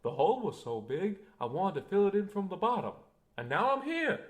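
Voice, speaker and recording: An earnest peanut farmer with his eye on office, acted by a man, speaks in a high voice that swings up and down. He is folksy and courteous, in the manner of a radio comedy. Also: big pitch swings, fairly quick. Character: earnest, folksy male peanut farmer turned campaigning politician. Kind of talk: old-time radio comedy acting.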